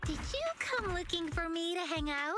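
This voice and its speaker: A woman's voice: extremely high pitch, lively intonation, normal speed. A woman playing a whimsical, curious young girl explorer in an anime-style video game, upbeat and playful in a sing-song way. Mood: cheerful, excited. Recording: loud game music behind.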